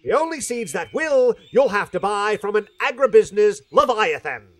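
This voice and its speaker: An actress playing a grave, alarmist commercial announcer in a radio play, reading a scripted advert in a deliberate, dramatic manner. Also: female voice, mid-range voice, very animated. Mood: grave warning.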